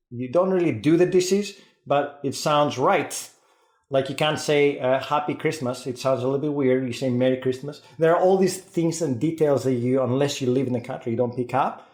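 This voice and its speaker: A man from Greece with a Greek and Scottish accent, talking fast and eagerly as a podcast guest, often restarting his sentences. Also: mid-range voice, a little mellow, reverberant. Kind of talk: casual podcast conversation.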